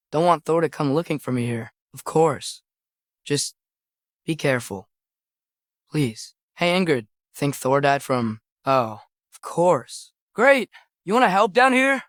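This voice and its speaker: A man's voice: a little high, a bit bright, no room sound, clean studio sound. A young man playing an earnest, headstrong teenage boy adventurer in a video game, with a light youthful voice and a casual, hesitant way of talking. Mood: strained, frustrated. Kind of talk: video game character acting.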